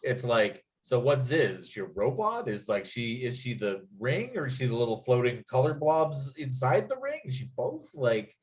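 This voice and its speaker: Halting, enthusiastic man in casual group chat. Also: medium pitch, big pitch swings, a little mellow. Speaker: writer leading a table-read discussion.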